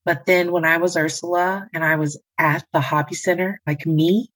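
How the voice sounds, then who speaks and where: A woman with a low voice, a singer and nurse telling her story in an interview, dramatic and lively, acting out the voices she quotes. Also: normal speed. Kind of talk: interview conversation.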